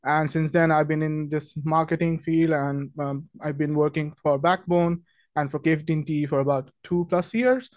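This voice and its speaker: A man's voice: fairly high pitch, normal speed, darkish tone, no echo. Chatty man hosting a webinar. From Pakistan. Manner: friendly, chatty, many ums and restarts. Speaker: webinar host, product marketing manager. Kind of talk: casual webinar presentation.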